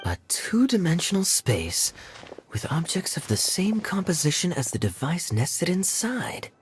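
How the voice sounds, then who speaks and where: A suave young male knight in a video game. He talks in a teasing, deliberate way with lively, swooping intonation. Music plays clearly behind him. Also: on the high side. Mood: composed, reasoning. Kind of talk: video game cutscene voice acting.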